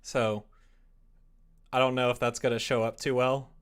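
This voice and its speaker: Young male streamer, mid-pitched voice, playful and conversational, talking off the cuff to chat. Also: little pitch movement, normal speed, clean tone. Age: young adult. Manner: chatty, playful, reading and answering chat. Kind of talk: casual livestream chat. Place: small room at home, indoors.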